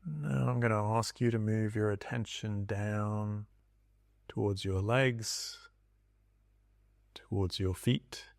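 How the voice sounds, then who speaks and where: A man leading a guided body scan meditation as a teacher, speaking in calm, gentle instructions with long pauses. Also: fairly low pitch, vocal fry, dry recording, studio quality.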